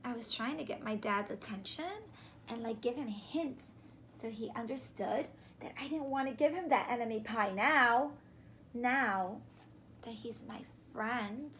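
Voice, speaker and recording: A woman in her thirties reads a children's story aloud like a teacher, animated and deliberate, pausing to question her young listeners. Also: on the high side. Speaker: teacher reading a picture book aloud. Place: small room indoors, on a couch. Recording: clear background room noise.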